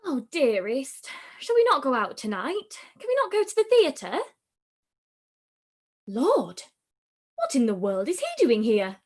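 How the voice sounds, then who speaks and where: A woman acts a giddy, pleasure-seeking young lady in a radio play. Her high voice swoops dramatically up and down as she drawls and gushes. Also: wildly swooping pitch, a little slow, pauses often, heavily acted. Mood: giddy, teasing. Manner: gushing, drawling, exclamatory. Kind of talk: radio play live read.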